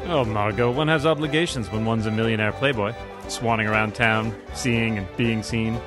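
An actor playing a jaded, droll millionaire playboy with an affected upper-crust drawl, in old-time radio drama style. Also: male voice, fairly low pitch, steady tone, normal speed, over music, lightly acted. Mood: bored, droll. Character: languid, jaded millionaire playboy with a secret hero identity.

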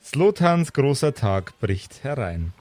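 A man's voice: mid-range voice, sing-song, takes it slowly, darkish tone, dry recording, soft background music. A man narrating an improvised tabletop game as game master, with a theatrical storytelling manner.

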